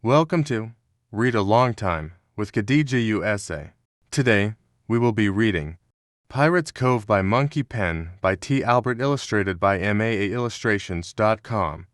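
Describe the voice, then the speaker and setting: Man, storybook narrator, scripted read-along, fairly low pitch, dry studio sound. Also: lively intonation, normal speed, dry recording.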